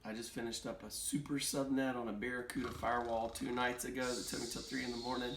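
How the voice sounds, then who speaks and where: A man's voice: mid-range voice, flat delivery, fast talker, a bit bright, slight background noise. A tired man from the United States chatting casually and earnestly in a rambling vlog. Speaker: pastor and family vlogger.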